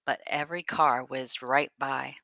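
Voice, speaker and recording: A woman reading a picture book aloud to children as a storyteller, with deliberate, dramatic phrasing. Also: rumbling low, fairly even intonation, gravelly, bright, forceful tone, no echo.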